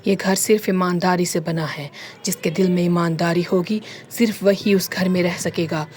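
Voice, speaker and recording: A stern enchanted house speaks in a slow, deep, commanding tone, voiced by a woman. It sounds solemn and righteous, lower than the warm storyteller, and it comes from a children's cartoon tale. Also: steady tone, lightly acted.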